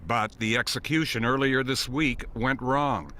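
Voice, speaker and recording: A man's voice: medium pitch, steady tone, unhurried, bright, forceful tone, a little hiss or hum. A man giving a scripted report as a news correspondent.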